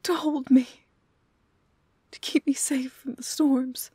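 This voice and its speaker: A woman acting a lovelorn young woman in an emotional fantasy audio roleplay, pleading in a breathy, halting way. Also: high voice, fairly even intonation, mellow, dry recording, studio quality. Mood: grieving, tender. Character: young woman pleading tearfully for her beloved beast. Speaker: voice actress.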